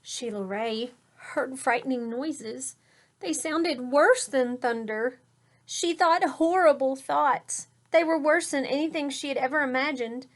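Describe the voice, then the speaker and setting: A woman's voice: high voice, unhurried. A woman teacher reading a picture book aloud to children, explaining words and pointing out the pictures, sometimes dropping to a whisper.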